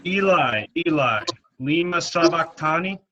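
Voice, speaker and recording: A man reading the part of Jesus crying out in anguish in a church scripture reading, with loud, anguished calls. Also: on the high side, lively intonation, unhurried, heavily acted. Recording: dull, muffled sound.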